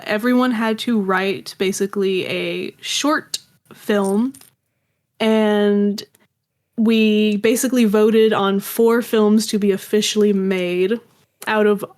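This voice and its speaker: Young adult woman, interview guest. Casual, conversational delivery with dry, self-effacing asides. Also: mid-range voice. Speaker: guest, indie animation and audio drama creator.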